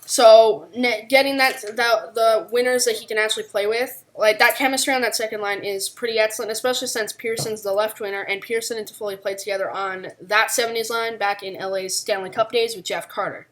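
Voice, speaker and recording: A woman's voice: fairly high pitch, steady tone, fairly quick, hardly any pauses. A woman co-hosting a podcast, talking through unscripted sports analysis. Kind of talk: unscripted hockey analysis discussion.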